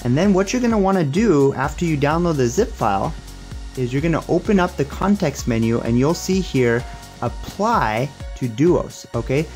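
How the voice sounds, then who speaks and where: A man's voice: a little high, a little mellow. Casual young man presenting over music. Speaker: tech reviewer and presenter. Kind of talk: casual hands-on demo and tutorial.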